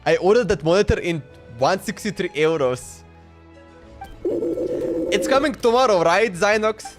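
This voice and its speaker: A man's voice: sits high, expressive, measured pace. A man doing casual, slangy live commentary as he streams a video game. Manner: slangy, informal. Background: game music.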